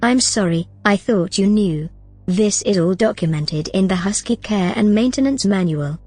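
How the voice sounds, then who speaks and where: A woman playing an AI companion, speaking calmly, precisely and reassuringly. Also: mid-range voice, moderate pace, faint music behind. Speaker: AI companion character. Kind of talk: audio drama acting.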